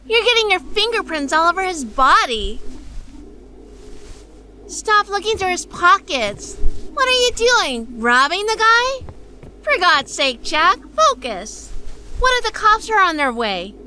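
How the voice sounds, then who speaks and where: A woman's voice: very high-pitched, very animated, unhurried, sharp tone, slight background noise, heavily acted. A woman acting as a bossy, exasperated crime-solving partner in a noir audio drama, scolding and no-nonsense. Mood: scolding, urgent. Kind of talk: noir radio-play dialogue and narration.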